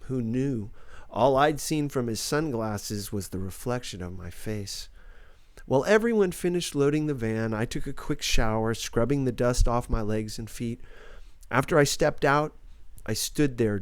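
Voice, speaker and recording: Male audiobook narrator, mid-pitched, scripted first-person fiction, very dry sound. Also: animated, moderate pace, clean tone, no room sound.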